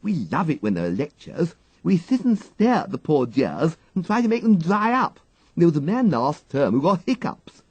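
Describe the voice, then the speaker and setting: A man's voice: fairly high pitch, big pitch swings, moderate pace, a little mellow, breathy edge, dry recording, pitched above the performer's own voice, exaggerated voice, poor audio. A man voicing a mischievous, chattering English schoolgirl in an audiobook, with an eager, gushing manner. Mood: gleeful, eager.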